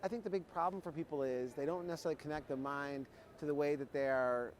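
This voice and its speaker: A man's voice: on the high side, steady tone, quick pace, slight background noise. A man hosting an interview, asking long, rambling questions. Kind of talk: conversational interview. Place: trade show floor.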